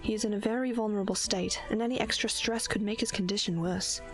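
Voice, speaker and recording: Audio drama princess, voiced by a woman: protective big sister, composed and comforting, formal wording, soft music bed. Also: mid-range voice, steady tone, natural voice. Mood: calm, reassuring. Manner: soothing, measured, formal. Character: caring, dutiful princess, protective older sister.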